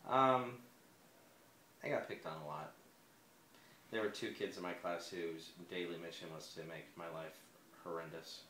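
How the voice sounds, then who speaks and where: A man in his forties with a very low voice answers interview questions hesitantly, often pausing and restarting. The recording sounds echoey, with a faint hum of room noise behind him. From United States. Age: 40s. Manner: hesitant, soft-spoken, many fillers and restarts. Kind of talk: personal interview.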